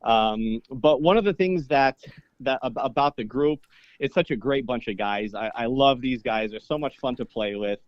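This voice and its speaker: A man's voice: medium pitch, moderate pace, dry recording, muffled recording. A young man whose first language is Polish, a priest and polka singer, talking casually as an interview guest with lots of fillers. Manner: easygoing, rambling, many fillers and restarts.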